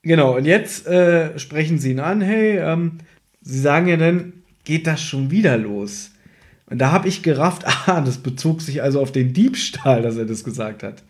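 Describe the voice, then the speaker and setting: A man's voice: on the high side, lively intonation, normal speed. A man co-hosting a podcast, chatting casually and conversationally as he recaps an audio drama from his notes. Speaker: podcast co-host.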